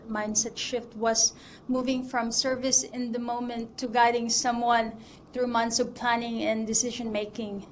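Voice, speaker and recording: A chatty woman in her thirties, from the Philippines, speaks in a fairly high voice and loops through fillers and restarts as she talks. Faint noise hums behind her. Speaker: mortgage broker guest. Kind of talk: casual interview chat.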